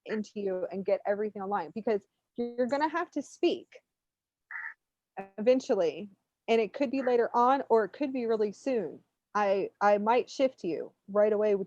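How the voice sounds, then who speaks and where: A woman giving an unscripted spiritual reading, talking in an animated, informal, rambling way as she coaches. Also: mid-range voice. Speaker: spiritual reader hosting a live readings session. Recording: muffled, roomy, lo-fi online stream.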